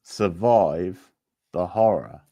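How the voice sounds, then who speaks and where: Male quiz host, low register, unhurried pace, informal live delivery. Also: dark tone, muffled recording. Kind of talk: casual live quiz hosting.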